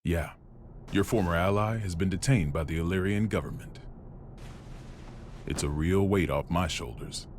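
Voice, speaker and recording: An actor playing a calm official in a video game, wry and gently probing. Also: male voice, low voice, normal speed, long pauses, slight background noise, natural voice. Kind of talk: video game cutscene acting.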